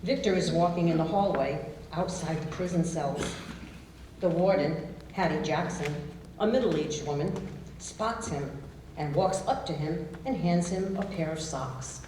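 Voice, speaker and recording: A woman's voice: sits low, a little slow, dark tone. A woman narrating a staged reading from a script, reading out stage directions. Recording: very echoey room, distant, faint noise.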